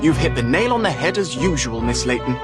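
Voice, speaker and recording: A man playing a polite, flustered young gentleman in a game, well-spoken and excitable. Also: mid-range voice, a little fast, over loud music, natural voice. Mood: rueful, amazed. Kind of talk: scripted game voice acting.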